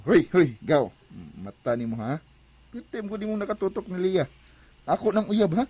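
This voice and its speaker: Young Cebuano boyfriend, easygoing but prone to suspicion, husky voice, radio drama. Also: male voice, sits high, sing-song, normal speed, dark tone, dry recording, heavily acted. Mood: casual, coaxing. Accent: Filipino, Cebuano.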